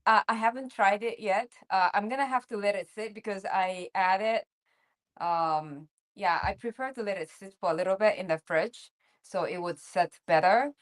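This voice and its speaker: A woman's voice: medium pitch, normal speed. A woman from Japan chatting on a video call, hesitant and restarting her sentences. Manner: hesitant, restarts, laughs softly. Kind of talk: casual video call chat.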